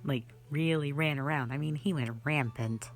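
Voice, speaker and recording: A woman's voice: low voice, moderate pace, soft background music. A woman playing a rambling, easygoing, flirty magic mentor in a fantasy roleplay audio drama, talking in a hesitant, offhand way full of asides. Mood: chatty, offhand.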